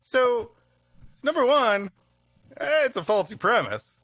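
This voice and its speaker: A man's voice: extremely high pitch, very animated, slow, a bit bright, no room sound. A man co-hosting a podcast in casual comic banter, joking in a mock-serious way. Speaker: podcast co-host.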